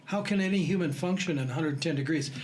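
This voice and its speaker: A man's voice: a little high, fast. A man in his sixties, a guest telling self-deprecating stories in a casual chat. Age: 60s. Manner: self-deprecating, laughing storyteller. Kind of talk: casual storytelling. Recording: noisy room ambience.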